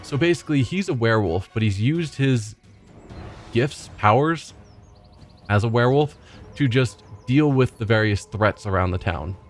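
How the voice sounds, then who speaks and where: A man's voice: mid-range voice, expressive, moderate pace, soft background music. A man hosting a let's play, thinking aloud hesitantly in casual commentary as he plays.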